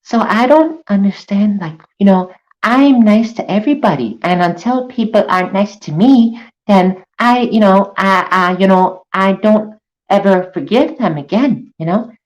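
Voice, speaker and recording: A man putting on a mocking falsetto voice of a whiny, self-pitying young woman who rambles with many fillers. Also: a little slow, roomy sound, rough recording.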